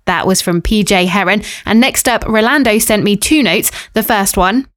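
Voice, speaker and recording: Female podcast host, expressive reader, dry close-miked studio sound. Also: mid-range voice, normal speed, dry recording. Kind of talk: reading listener emails aloud.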